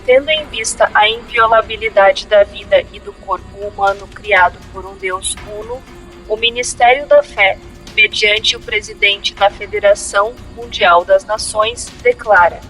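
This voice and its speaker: A woman in an audio drama voicing an official who recites a government decree in a formal, measured, ceremonious manner. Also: mid-range voice, fairly bright tone, soft background music, lightly acted, rough recording. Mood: solemn, official.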